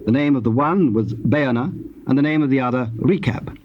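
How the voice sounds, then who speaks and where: A man narrating scripture from a script in a measured, formal storytelling manner. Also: mid-range voice, lo-fi sound. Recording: noisy old cassette tape transfer.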